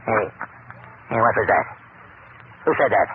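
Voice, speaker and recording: An actor playing a mean, greedy old miser in old-time radio drama, snarling and gloating. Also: male voice, lowish voice, a little slow, close to an everyday voice. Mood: alarmed, defensive. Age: older. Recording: tinny, noisy old radio broadcast.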